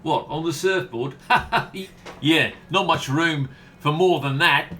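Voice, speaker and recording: A man's voice: a little high, slow pace, pushed and bright, a little hiss or hum. A man playing a flirty, cheekily confident surf instructor in a radio comedy. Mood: breezy.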